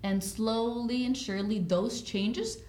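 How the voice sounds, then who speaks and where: A woman's voice: a little high, steady tone, unhurried, a little mellow. A woman in her twenties from El Salvador, a guest image consultant telling her story eagerly in a casual podcast chat. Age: 20s. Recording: roomy echo.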